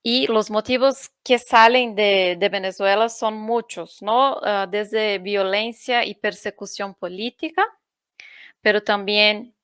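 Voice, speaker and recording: Slow Brazilian woman speaking Spanish. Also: mid-range voice, steady tone, fairly bright tone, clear, resonant tone, no echo. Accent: Brazilian Portuguese. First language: Portuguese. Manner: unhurried, searching for words, frequent "¿no?". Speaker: UN refugee agency panelist. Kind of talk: online forum presentation.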